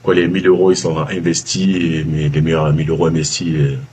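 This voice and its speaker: A man with a Southern French accent, a former baker giving a casual testimonial, halting with many fillers and restarts. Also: a bass voice, flat delivery, a little mellow, husky. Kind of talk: casual video-call testimonial. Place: calling from abroad, early morning.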